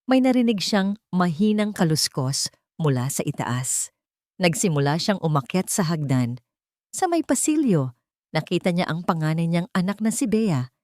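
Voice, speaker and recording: A woman reading a scripted dramatic story in an emotive, deliberate way. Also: on the low side, big pitch swings, a little mellow, dry recording, clean studio sound. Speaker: story narrator.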